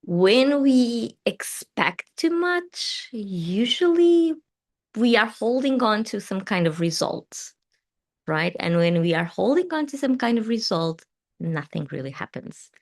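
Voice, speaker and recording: A Portuguese woman with a Portuguese accent, English not her first language, hosting a live tarot and meditation stream, talking in a gentle, soothing way that often trails off softly. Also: medium pitch, big pitch swings, slow. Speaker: tarot reader and meditation host. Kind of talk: live-stream chat answering viewer comments.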